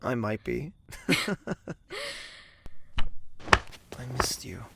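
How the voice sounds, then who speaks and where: An actor playing a guarded, devoted young prince in an audio drama, husky and hushed, speaking with brooding earnestness. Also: male voice, medium pitch, animated, unhurried, heavy background noise. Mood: tender. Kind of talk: intimate audio drama acting with inner monologue.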